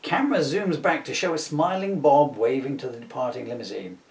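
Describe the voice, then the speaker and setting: A man's voice: medium pitch, a little fast, a little mellow. A man giving a comic scripted reading with wry, self-mocking asides. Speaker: author reading his own script. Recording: roomy echo, distant mic.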